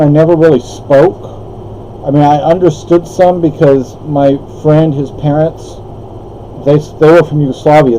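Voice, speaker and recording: Older man, native Spanish speaker, mellow voice, meandering talk, faint hum behind. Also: medium pitch, lo-fi sound. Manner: meandering, with frequent restarts and asides. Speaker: vlogger. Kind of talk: rambling personal monologue.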